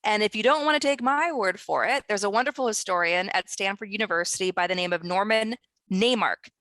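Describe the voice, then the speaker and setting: A woman author and screenwriter speaking as a guest in an online discussion, talking in an earnest, urgent way with quick restarts. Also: mid-range voice, a bit bright, no room sound. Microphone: video call.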